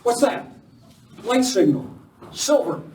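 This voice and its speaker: A man's voice: high voice, very animated, slow pace, full character voice. A man playing a heroic, resolute masked cowboy lawman in a live radio western. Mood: watchful, excited. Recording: distant mic in echoey hall, faint noise.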